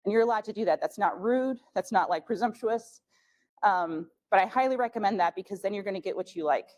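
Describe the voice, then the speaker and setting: A young woman, a native German speaker, gives candid tips at a scholarship workshop. Her voice sits in the middle of the range, and her talk is casual and loose, full of fillers and quick restarts. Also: mid-range voice, darkish tone. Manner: chatty, frank, many fillers.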